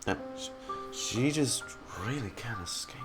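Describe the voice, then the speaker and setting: A man's voice: mid-range voice, lively intonation, unhurried. A man giving casual live commentary as he streams a game, reacting with murmured asides and exclamations. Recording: game music behind the voice. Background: video game music.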